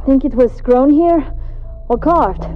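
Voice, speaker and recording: An actress playing a dry, sardonic woman space explorer in a radio drama, with a wry, unhurried manner. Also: high-pitched, narrow, tinny audio, soft background music.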